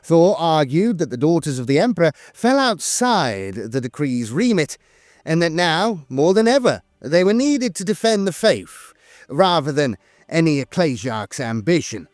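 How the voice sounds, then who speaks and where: High-voiced man, dramatic swooping narration. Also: sing-song, unhurried, no echo, studio quality. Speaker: narrator and host of a lore channel. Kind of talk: scripted dramatic lore narration.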